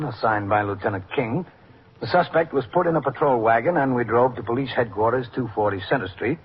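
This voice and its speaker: A man acting as a sober police captain narrating a case. He is formal, factual and deadpan, in classic radio drama style. The recording is dull with faint noise. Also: medium pitch, lightly acted. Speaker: narrator and lead character. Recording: noisy muffled old radio recording.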